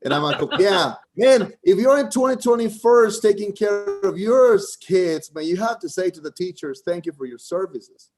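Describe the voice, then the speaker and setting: A man from Costa Rica with a Costa Rican Spanish accent and Spanish as his first language, doing a halting first stand-up set over a video call and often restarting his sentences. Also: sits high, expressive, a little mellow. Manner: halting, frequent restarts, keeps saying "man". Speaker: first-time open mic comedian.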